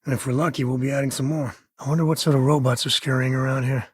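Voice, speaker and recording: A man playing an easygoing space-frontier ranger and devoted dad in a video game, with a husky, slightly rough voice and a folksy, wry manner. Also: mid-range voice, steady tone, quick pace, dry recording, clean studio sound, natural voice. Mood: relaxed, wry. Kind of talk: video game character dialogue.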